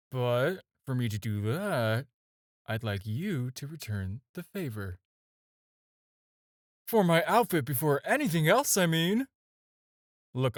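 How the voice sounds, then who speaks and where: A man's voice: medium pitch, dry recording, clean studio sound. A man acting a flirty, self-assured supervillain in an audio roleplay, talking to the listener in a teasing, casual, conversational way. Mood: teasing, earnest. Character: flirty, smooth, self-assured male supervillain courting his tailor.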